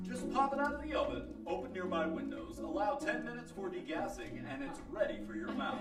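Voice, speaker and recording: A performer playing a slick, boisterous sandwich pitchman in a parody old-time radio commercial, delivering hammy salesman patter. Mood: slick, upbeat. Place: live studio broadcast before an audience. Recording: roomy live stage, distant mic, loud music.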